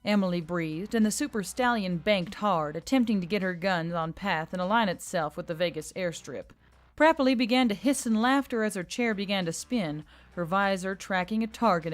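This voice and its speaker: A woman narrator reading a dramatic action story from a script. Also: fairly low pitch. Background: faint background music.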